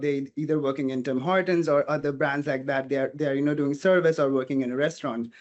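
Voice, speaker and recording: A man's voice: on the high side, lo-fi sound. Earnest man. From India. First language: Portuguese. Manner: earnest, thinking aloud with frequent "you know". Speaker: interview guest, hospitality student and front desk agent. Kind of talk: casual interview talk.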